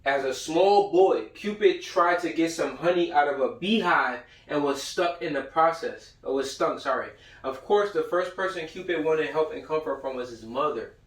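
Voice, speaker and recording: A man's voice: on the high side, moderate pace, hardly any pauses. A man giving an informal lecture, reading passages aloud and commenting in blunt, profane slang, repeating himself for emphasis. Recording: roomy echo, far from the mic.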